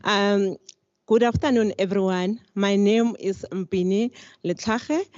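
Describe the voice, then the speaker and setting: A woman's voice: mid-range voice, steady tone, slow, darkish tone, dry recording. A South African woman introducing herself graciously on a panel. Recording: dull lo-fi sound.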